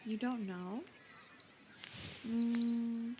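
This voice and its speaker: A woman voicing a bubbly, naive young shopkeeper who is excitable, chatty and easily torn between choices in a roleplay voice pack. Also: on the high side, extremely slow, a little hiss or hum. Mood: excited.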